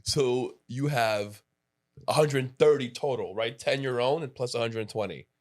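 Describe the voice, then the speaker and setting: A man's voice: mid-range voice, a little slow. A man in his late thirties hosting a podcast, chatting casually and rambling with restarts and asides. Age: 30s. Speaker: podcast host. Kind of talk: casual interview chat.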